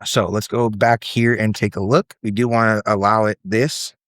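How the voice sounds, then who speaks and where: Low-voiced man, casual software walkthrough. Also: flat delivery, moderate pace, no room sound, clean studio sound. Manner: informal, step-by-step explaining. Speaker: presenter demonstrating self-built apps. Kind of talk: casual screen-share tutorial.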